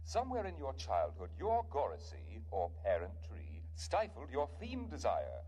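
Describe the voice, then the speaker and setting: Patronizing machine psychotherapist, 1950s radio drama, husky, patient, lively pitch. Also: male voice, normal speed. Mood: patient, insistent. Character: calm, insistent robot psychotherapy machine, Martian model. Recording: muffled vintage radio recording.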